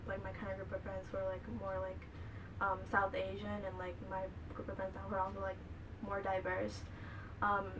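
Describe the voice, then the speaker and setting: A twenty-year-old woman with an American accent, a college student giving informal, hesitant interview answers peppered with "like". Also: mid-range voice. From Bangladesh, raised in the United States from early childhood. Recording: noisy room, distant microphone.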